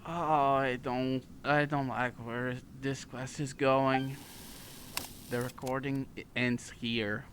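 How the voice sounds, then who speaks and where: A man's voice: medium pitch, level tone, slow pace, fairly bright tone, a little hiss or hum. A man giving casual reactions as he plays through a game. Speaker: gamer recording a playthrough.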